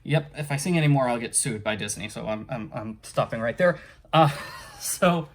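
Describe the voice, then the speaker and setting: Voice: medium pitch, normal speed, a little hiss or hum. Casual vlog-style book reviewer, unscripted and hesitant, rambles and trails off with small chuckles.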